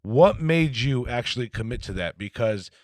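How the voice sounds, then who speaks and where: A man's voice: mid-range voice, expressive, normal speed, darkish tone, no room sound. Blunt, swearing man hosting a podcast. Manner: blunt, swears freely, laughs often. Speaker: podcast host. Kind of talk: casual live podcast interview.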